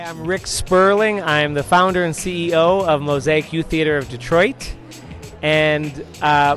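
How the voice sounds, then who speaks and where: A man's voice: on the high side, a bit bright. A man, a nonprofit founder, talking earnestly in a short testimonial and restarting his words often. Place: event venue.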